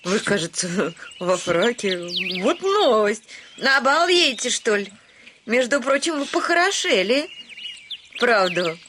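An actress playing a chatty, headstrong landowner's daughter in a radio comedy, exclamatory and quick to bristle. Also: female voice, on the high side, very animated, bright, forceful tone, slight background noise, heavily acted. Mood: chatty, welcoming. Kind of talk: theatrical radio comedy acting.

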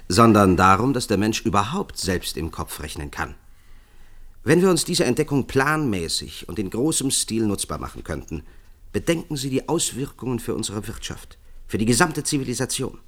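A man's voice: on the low side, fairly bright tone. An actor playing an earnest male expert in a German science-fiction radio drama, formally lecturing and persuading. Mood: earnest, insistent. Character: earnest male science expert pitching a visionary project to leaders.

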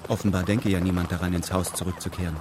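A male narrator reads a scripted radio drama in a fairly low voice. His delivery is formal and matter-of-fact. Clear ambient noise runs behind him. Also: level tone, quick pace.

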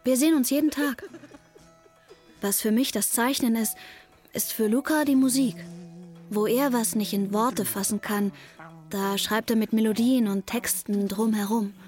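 A woman's voice: fairly high pitch, steady tone, measured pace, darkish tone, natural voice. A woman playing a restless, defiant teenage girl in love, confiding and emotionally charged in a German radio drama. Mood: confiding, wistful. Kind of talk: German radio drama, intimate first-person narration and dialogue. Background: music under the voice.

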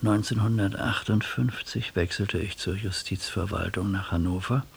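An actor in a radio drama playing a proud, reserved judge of sixty-four, a heart patient who speaks formally and drily. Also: male voice, on the low side, steady tone, moderate pace, vocal fry, slight background noise. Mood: formal, reciting. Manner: formal, stiff, dry.

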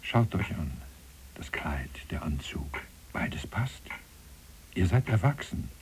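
An actor playing an older sawmill owner in a German radio play, an insistent, patronising father figure with a measured, admonishing way of talking. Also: deep voice, big pitch swings, whispered, full character voice. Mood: admonishing, pleading. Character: old sawmill owner, insistent paternal patriarch clinging to heirs. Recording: noisy 1980s cassette radio recording.

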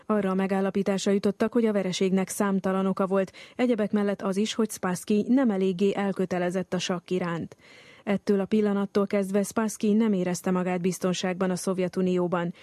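A woman reading a scripted radio documentary narration in a steady, measured way. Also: mid-range voice, no room sound.

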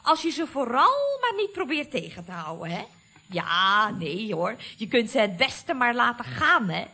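A female secretary in a vintage radio comedy. She is chatty and confiding, with a mock-soothing manner. Her voice is fairly high and swoops around, with a husky edge. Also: big pitch swings, measured pace, pushed and bright, full character voice. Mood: chatty, knowing. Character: chatty, knowing female office secretary at a building firm. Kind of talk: 1970s Dutch radio comedy acting.

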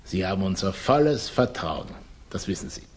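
An actor playing a paternal, self-important revolutionary leader in a 1960s German radio play, unctuous and commanding. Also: male voice, low-pitched, expressive, normal speed, breathy edge. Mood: patronising.

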